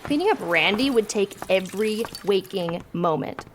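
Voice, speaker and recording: A woman's voice: medium pitch, animated, normal speed, noisy background, close to an everyday voice. A woman playing an earnest, organized young college student who takes charge, in an audio drama. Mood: wry exasperation. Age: young adult.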